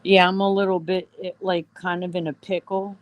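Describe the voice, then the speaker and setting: A woman hosting a casual livestream chat, rambling with lots of fillers, her voice going a little hoarse. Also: fairly low pitch, little pitch movement, normal speed. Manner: rambling, many fillers and "you know". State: voice getting hoarse. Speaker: livestream host.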